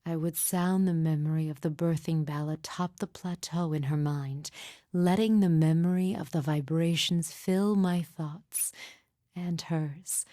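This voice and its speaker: A woman playing a grieving, mystical midwife in a fantasy game, speaking in a solemn, formal, measured way with deliberate pauses. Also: deep voice, fairly even intonation, warm tone, no echo, clean studio sound. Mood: solemn, reflective. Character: grieving mother, solemn sorrowful mystic midwife with haunted calm. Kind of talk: scripted fantasy game dialogue.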